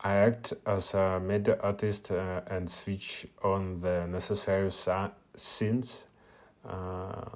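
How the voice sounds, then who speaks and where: A man's voice: low voice, flat delivery, takes it slowly, darkish tone. A man, a non-native English speaker whose first language is Russian, giving a hesitant screen-share tutorial at a meetup with many filler sounds. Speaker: media artist presenting at a meetup.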